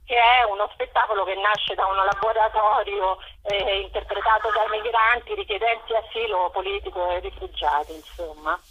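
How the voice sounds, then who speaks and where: A woman's voice: mid-range voice, fairly even intonation, moderate pace, hardly any pauses, a bit bright, slightly rough. A woman guest on a radio interview, informally presenting a programme as its organiser over the telephone. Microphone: telephone call. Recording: muffled, lo-fi telephone line.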